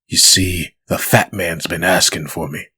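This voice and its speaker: A man acts out an undead comic-book avenger with a deep, raspy voice. He speaks with cold, controlled menace, like someone used to making threats. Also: fairly even intonation, heavily acted. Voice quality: husky, rough voice. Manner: slow, quiet menace. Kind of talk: comic dub acting.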